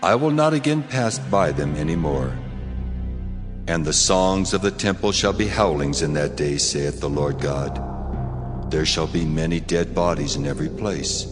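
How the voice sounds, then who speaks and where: A man voicing the Lord God in dramatized scripture, solemn and commanding, speaking with grave, measured authority. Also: extremely low pitch. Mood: grave judgment. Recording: reverberant hall effect, music underneath. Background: music.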